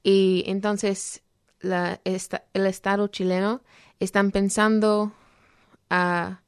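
A woman talking earnestly on a program, halting often as she searches for words. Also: medium pitch, level tone, clear, resonant tone, no room sound, studio quality. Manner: earnest, explanatory. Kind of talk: program talk on social issues.

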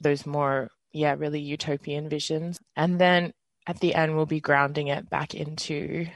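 A twenty-eight-year-old radio host with an Australian accent, leading a community panel in an earnest, relaxed way. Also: mid-range voice, a little slow, dry recording. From Western Australia. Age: 20s. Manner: earnest, relaxed, with fillers and small laughs.

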